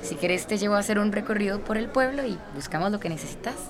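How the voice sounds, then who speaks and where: A woman playing a chatty, helpful young woman in a radio soap, cheerful and expressive. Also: medium pitch, fast, crowd noise, close to an everyday voice. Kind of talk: radio soap opera acting.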